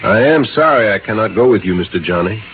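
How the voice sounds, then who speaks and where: Male actor as reluctant swamp guide: very low, formal, careful delivery, grave and humble, on a noisy vintage radio drama recording. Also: expressive, fast, phone-quality sound, close to an everyday voice. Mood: humble, apologetic. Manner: formal, careful phrasing without contractions. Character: soft-spoken, reluctant swamp guide and father, grave, cautious. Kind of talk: 1950s radio drama acting.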